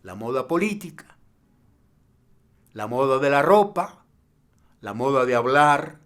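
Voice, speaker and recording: A man giving spoken commentary with emphatic repetition, building his point in lists. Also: fairly high pitch.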